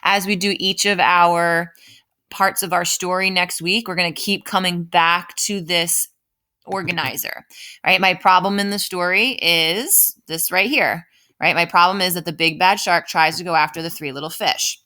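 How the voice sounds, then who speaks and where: A woman's voice: lowish voice, steady tone, normal speed, pushed and bright. A woman teaching an informal writing lesson step by step, thinking aloud and often checking in with the listener. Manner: explanatory, often checks in with "right?". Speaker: elementary school writing teacher.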